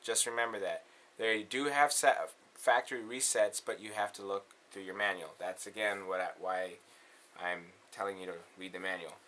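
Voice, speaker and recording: A man's voice: on the low side, steady tone, moderate pace, pushed and bright, a little hiss or hum. A man presenting a casual step-by-step synthesizer tutorial in an instructional way, often checking in with the listener.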